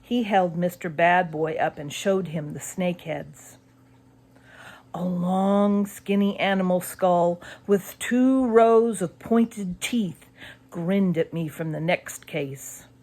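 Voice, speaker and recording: A woman reading a spooky children's story as scripted narration, expressive and suspenseful. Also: lowish voice, takes it slowly. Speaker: audiobook narrator.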